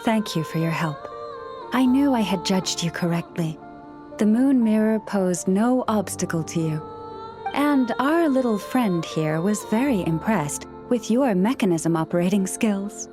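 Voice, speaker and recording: An actress playing a gentle, scholarly young priestess in a video game, speaking in a formal, measured, courteous way. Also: female voice, mid-range voice, lively intonation, close to an everyday voice. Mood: composed, earnest. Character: gentle, scholarly young woman, a nature-loving moon priestess. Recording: music behind the voice. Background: background music.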